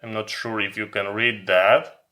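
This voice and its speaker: Slow-paced man with a bright, forceful voice. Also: on the low side, fairly even intonation. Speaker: electronics hobby vlogger. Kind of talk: informal product demonstration and explanation.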